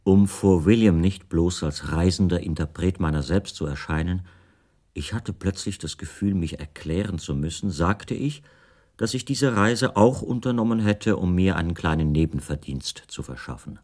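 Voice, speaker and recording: A middle-aged writer telling his own story in a radio play. The voice is low, and the delivery is measured and formal. Each detail is set out precisely, as in literary prose. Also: animated, darkish tone. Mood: measured, recollecting. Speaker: first-person narrator of a radio play.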